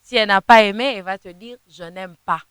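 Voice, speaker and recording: A young woman, a gospel singer whose first language is Kinande, answering interview questions in a halting way, often repeating words as she searches for them. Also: mid-range voice, clean tone. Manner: sings softly in places.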